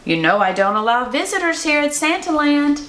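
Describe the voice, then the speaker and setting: A woman's voice: high-pitched, sing-song, normal speed, a bit bright, echoey room, pitched above the performer's own voice. A woman voicing a jolly old man, a commanding but kind Father Christmas, hearty and authoritative in a children's storybook. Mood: jolly, stern. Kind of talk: children's storybook read-aloud.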